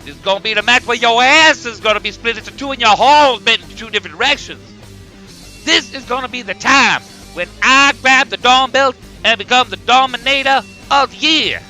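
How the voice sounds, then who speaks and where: High-voiced, brash grizzly pimp wrestler. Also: male voice, brisk, bright, forceful tone, soft background music, heavily acted. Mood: boastful. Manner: boastful, taunting, slangy. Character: brash trash-talking male grizzly bear pimp wrestler.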